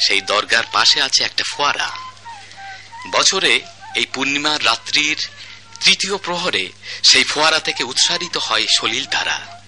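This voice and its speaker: Fond old husband, romantic, lots of pitch movement. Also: male voice, expressive, a little slow, pauses often, bright, forceful tone, soft background music, poor audio. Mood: reflective. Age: older. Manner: tender, poetic and teasing. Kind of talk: radio drama acting.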